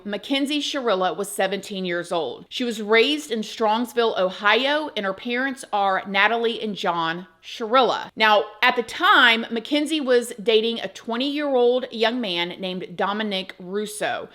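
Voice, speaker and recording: A woman's voice: on the high side, fairly bright tone. A woman, hosting a true crime channel and talking chattily and emphatically to her viewers. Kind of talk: casual chat to camera.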